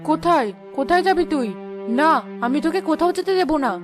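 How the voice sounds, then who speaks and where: Horror cartoon mother: actress as anxious, pleading villager, raised pitch over a music bed. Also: animated, moderate pace. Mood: desperate pleading.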